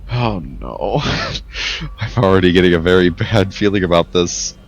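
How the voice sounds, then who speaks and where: A man's voice: low voice, a little slow, dull audio. A man playing in a tabletop role-playing game, bantering casually and partly in character.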